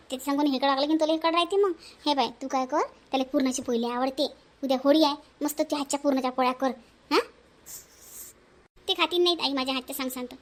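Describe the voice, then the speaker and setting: A woman's voice: high voice, lightly acted. A woman voicing village women in a family cartoon, bickering in-laws, speaking Marathi with a Varhadi accent.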